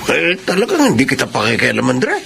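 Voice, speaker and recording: A man's voice: mid-range voice, wildly swooping pitch, fairly quick, gravelly, exaggerated voice. An actor playing a chummy, sly male friend, jovial and ingratiating, in a Filipino radio drama. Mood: sly, friendly.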